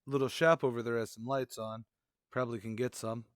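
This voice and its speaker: A man's voice: mid-range voice, fairly even intonation, fairly quick, no echo, clean studio sound, lightly acted. A man playing a wisecracking police detective sidekick in a radio crime drama, casual in manner. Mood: businesslike.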